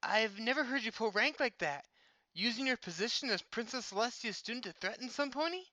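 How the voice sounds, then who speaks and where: A woman's voice: a little high, animated, fairly quick, sharp tone, dry recording, low-quality recording. A woman voicing a cheeky, loyal young male sidekick in a dramatized story, wry, casual and easily exasperated.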